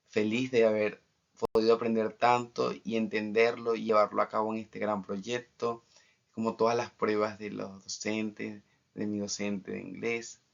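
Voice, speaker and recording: A young man from Argentina with an Argentine accent, a student giving a formal final project presentation in measured phrases with pauses. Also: fairly low pitch, gravelly. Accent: Argentine Spanish. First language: Spanish. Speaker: international trade student. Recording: dull lo-fi recording.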